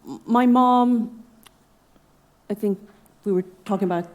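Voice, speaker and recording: A woman's voice: medium pitch, measured pace, darkish tone, highs cut off. A young woman from Norway speaking in a casual podcast conversation, reflective and thoughtful, with frequent fillers and laughter. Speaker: exhibiting artist guest.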